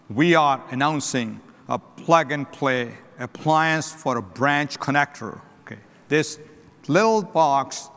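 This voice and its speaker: A middle-aged man, a company chief executive, giving a keynote on a conference stage in short, firm phrases, often clearing his throat. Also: a little high, fairly bright tone. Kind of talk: keynote presentation. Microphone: headset mic. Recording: long reverb of a large hall.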